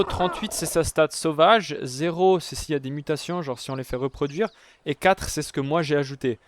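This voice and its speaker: A man's voice: a little high, noisy background. A young man casually commenting on his game, chatty and addressing his viewers often. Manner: chatty, talks to viewers as "les gars", many fillers. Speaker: gaming youtuber. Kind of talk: casual let's-play commentary.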